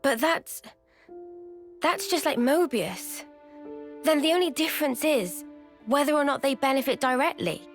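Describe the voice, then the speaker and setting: An actress playing a gentle, thoughtful young female soldier, speaking earnestly in a video game cutscene. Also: sits high, animated, measured pace, long pauses, soft background music. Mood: questioning. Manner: reflective, earnest.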